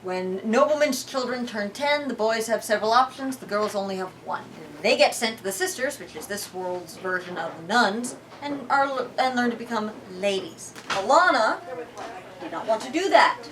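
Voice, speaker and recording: A woman hosting a book talk, reading and explaining dramatically with animated, emphatic, theatrical delivery. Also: fairly high pitch, normal speed, recorded from a distance, slight background noise, poor audio. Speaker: book talk host and reader.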